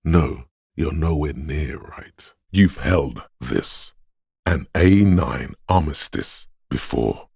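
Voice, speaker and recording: A man voicing a cool, sly, goading inner voice in a video game, narrating in the second person with deliberate, savored words. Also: lively intonation, darkish tone, no echo, studio quality, heavily acted. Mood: dry, musing. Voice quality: very deep, gravelly, husky.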